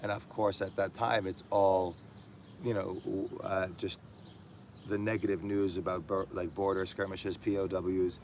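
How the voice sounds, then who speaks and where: A man's voice: low voice, flat delivery, unhurried, a little mellow, slight background noise. A man guest reflecting in casual conversation, thoughtful and hesitant, with many fillers. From diaspora Armenian. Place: indoors in Armenia.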